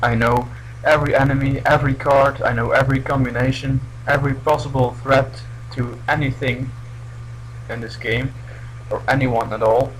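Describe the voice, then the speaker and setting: Young man from Belgium, non-native English, hesitant gameplay commentary, mid-pitched voice, faint hum behind. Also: fairly even intonation, moderate pace, low-quality recording. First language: not English. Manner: hesitant, restarts often. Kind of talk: casual let's play commentary.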